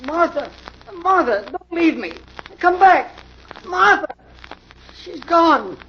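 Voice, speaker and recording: An actor playing a nervous, grieving widower in an old radio drama, pleading anxiously. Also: male voice, very high voice, lively intonation, slow, exaggerated voice. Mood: desperate, panicked. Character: nervous, grieving widower, desperate séance client. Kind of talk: 1940s radio acting. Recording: dull, hissy old radio recording.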